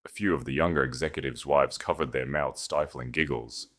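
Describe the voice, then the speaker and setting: Kalın sesli erkek hikâye anlatıcısı. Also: male voice, rumbling low, brisk, fairly bright tone. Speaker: audiobook narrator. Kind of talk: dramatic scripted fiction narration.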